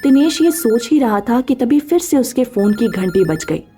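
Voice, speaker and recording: Mellow, husky woman storyteller. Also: fairly high pitch, big pitch swings, moderate pace, soft background music. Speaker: narrator. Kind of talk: scripted story narration.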